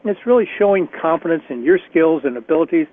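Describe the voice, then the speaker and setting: A man with a slightly raspy voice leading a career workshop on a conference call, chatty and encouraging with frequent restarts. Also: fairly high pitch, lively intonation, fast. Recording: tinny phone line.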